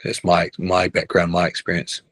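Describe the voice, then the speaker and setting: A man's voice: deep voice, completely flat delivery, moderate pace, a bit bright, no room sound, clean studio sound. A middle-aged man, a basketball coach chatting casually in an interview, rambling with many restarts and tag questions. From New Zealand. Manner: rambling, restarts often, ends with "right?".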